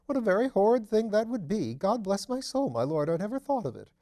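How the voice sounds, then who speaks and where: A fussy, deferential gentleman in a storybook, acted out by a male reader, pitched well above an ordinary man's talk. He rambles on nervously and is quickly flustered, with raised, emphatic delivery. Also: sing-song. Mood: agitated. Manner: agitated, chatty, deferential, rambling. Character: nervous, obliging, fussy middle-class Englishman, easily agitated. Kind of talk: audiobook character voice.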